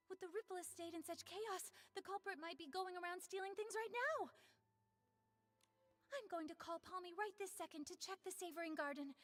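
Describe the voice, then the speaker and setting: A woman's voice: very high-pitched, a bit bright. A woman voicing a young, flustered yet determined investigator in a video game.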